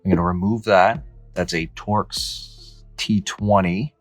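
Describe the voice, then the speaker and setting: Deep voiced guy in his 30s doing a casual how-to vid in a garage, some music behind. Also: steady tone, takes it slowly, no echo. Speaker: host of a DIY Jeep how-to channel. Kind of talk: casual step-by-step tutorial narration. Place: indoor garage with high ceiling, in and around a car.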